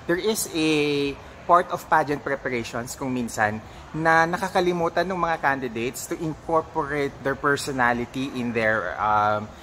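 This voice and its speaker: An off-camera male interviewer asks long, halting questions, stopping to restart and mixing in Tagalog words. His voice has a bright, crisp edge. Light room noise hums underneath. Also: medium pitch, low-quality recording.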